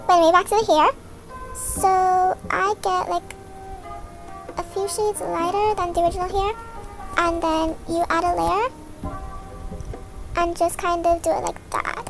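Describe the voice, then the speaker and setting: Very high-voiced young-sounding woman, casual tutorial. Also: steady tone, a little slow, pauses often, rough recording. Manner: informal, improvised explaining. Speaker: video creator giving a tutorial. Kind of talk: casual step-by-step tutorial voice-over. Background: music.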